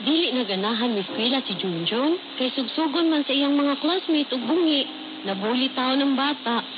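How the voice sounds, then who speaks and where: A woman's voice: high voice, lively intonation, normal speed. A woman acting a worried mother in a radio commercial, speaking in a plaintive, conversational way over radio. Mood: worried, weary. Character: worried mother of a bullied schoolboy with cleft lip. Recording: dull, very noisy AM radio reception.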